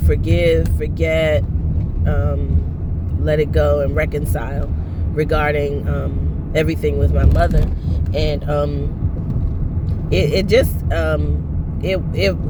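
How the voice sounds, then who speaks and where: A woman in her thirties vlogging from her parked car, her voice hoarse and lowered from allergies, rambling with many fillers and restarts. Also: heavy background noise. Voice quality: lowered, husky voice. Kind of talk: casual personal vlog. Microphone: phone on the dashboard.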